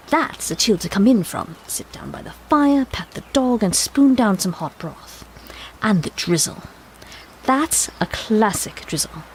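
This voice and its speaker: A woman's voice: mid-range voice, a little mellow, slight background noise, low-quality recording. A woman playing an eccentric, relentlessly enthusiastic time-traveling doctor in an audio drama, grandiose, playful and wordy.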